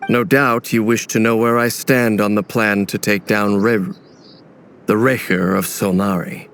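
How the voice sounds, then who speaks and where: A man's voice: on the low side, steady tone, measured pace, a bit bright, a little hiss or hum. An actor playing a formal, reserved, brooding and dutiful man in a video game. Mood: solemn.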